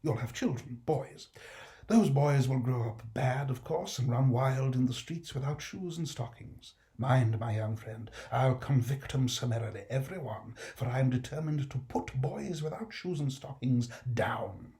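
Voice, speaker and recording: A man's voice: mid-range voice, measured pace, dark tone, close to an everyday voice. A man playing a smug, condescending alderman who lectures the poor with a chuckle. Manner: patronizing, jovial, chuckling. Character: smug pompous male alderman, condescending magistrate.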